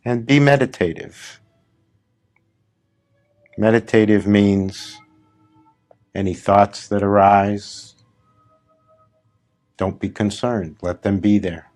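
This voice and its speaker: A man leading a guided meditation as a spiritual teacher, in short, gently instructive phrases with long, unhurried pauses between them. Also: lowish voice, fairly even intonation, faint music behind.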